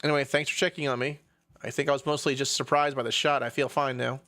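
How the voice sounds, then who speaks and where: A man's voice: mid-range voice, flat delivery, fast, bright, forceful tone, no room sound, studio quality. A man voicing an easygoing, mildly weary young male soldier from a visual novel, with music behind him. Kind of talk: acting out visual novel dialogue.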